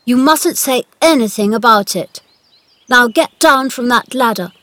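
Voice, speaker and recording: A woman voicing a frail but imperious young boy in a storybook, high-strung and commanding, with emphatic delivery. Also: a little slow, fairly bright tone, dry recording.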